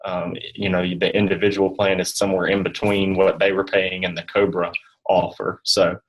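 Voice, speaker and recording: A man giving informal explanations as an interview guest, in hesitant, halting phrases. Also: deep voice, flat delivery, rough recording. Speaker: guest health insurance specialist.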